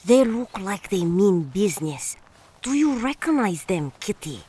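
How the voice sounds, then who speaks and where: A woman's voice: medium pitch, sing-song, a little slow, background noise. An actress playing a sulky, easily frightened young girl in an audio drama. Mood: sulky unease.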